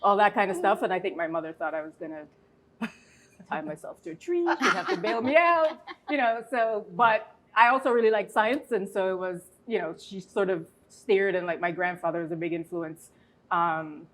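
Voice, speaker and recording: A woman, a podcast guest telling a relaxed, easygoing story with light laughter in her talk. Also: medium pitch, normal speed. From Barbados. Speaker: podcast guest, water engineer. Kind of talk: relaxed conversational anecdote.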